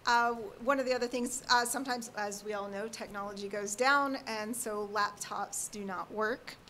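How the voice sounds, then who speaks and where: A woman working as a school family support advisor, speaking loudly and earnestly as she explains her work at a public board meeting. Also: mid-range voice, fairly even intonation, normal speed, a little hiss or hum.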